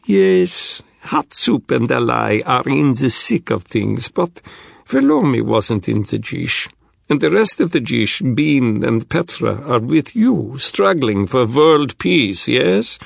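A man's voice: mid-range voice, big pitch swings, slow, creaky, husky, no echo, studio quality, voice pushed up, exaggerated voice. A man playing a wry young Russian strategist in an audiobook, with a thick Russian accent and clipped, sardonic phrasing that drops articles. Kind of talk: audiobook character dialogue.

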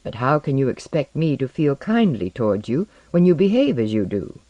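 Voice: mid-range voice, sing-song, moderate pace, warm tone, no room sound, dull audio, lightly acted. Here is a reader playing an indignant, self-possessed woman in an audiobook story. She speaks firmly and clinically, in clipped challenges, recorded dry.

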